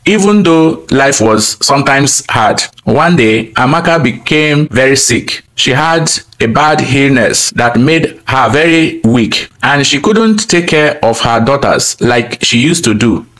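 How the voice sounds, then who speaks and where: A man with a mid-pitched voice narrates a folktale as a storyteller. He tells it in plain, deliberate phrases, as a scripted narration. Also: lively intonation, a bit bright, low-quality recording.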